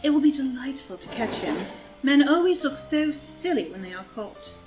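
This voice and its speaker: A woman's voice: high voice, very animated, moderate pace, over music. A woman playing an elegant, haughty and scheming society villainess in an audio drama reading, arch and disdainful.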